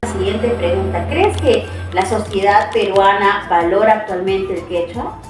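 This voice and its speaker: Female interviewer, measured and polite, far off with roomy echo, faint music. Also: medium pitch. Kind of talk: asking interview questions. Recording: very echoey room, far from mic, faint music.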